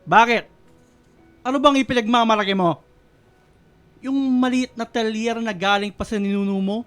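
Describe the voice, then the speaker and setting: Domineering older father-in-law, smooth high voice. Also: steady tone, normal speed, fairly bright tone. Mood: angry, mocking. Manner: scornful. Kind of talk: Filipino radio drama acting. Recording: music bed behind voice.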